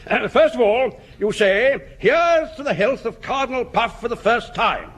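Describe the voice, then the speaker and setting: A man's voice: very high voice, expressive, normal speed, fairly bright tone, exaggerated voice. An actor playing a jovial, boozy old army officer with an upper-class English accent, hearty and relishing his instructions in vintage radio sitcom style. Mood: tipsy relish. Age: older. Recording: noisy old radio recording.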